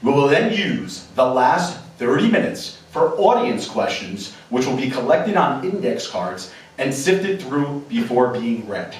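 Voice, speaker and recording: Male debate moderator, lively formal read, distant mic, big theater echo. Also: medium pitch, a little slow. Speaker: debate moderator and show host. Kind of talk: moderating a local political debate. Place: theater stage before a live audience. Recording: echoey theater from far off.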